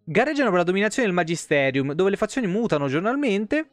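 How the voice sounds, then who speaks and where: Higher-voiced man, dry close sound. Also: sing-song, fast, no room sound. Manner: colloquial, profane, stumbles and self-corrects while reading. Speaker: live streamer. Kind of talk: reading game lore aloud with casual asides. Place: small room at home. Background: faint background music.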